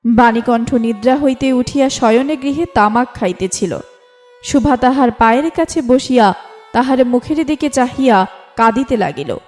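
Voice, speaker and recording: A woman's voice: a little high, animated, moderate pace, darkish tone. A woman reading a scripted literary story as narrator, in a formal storytelling delivery. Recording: music bed under the voice. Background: instrumental music.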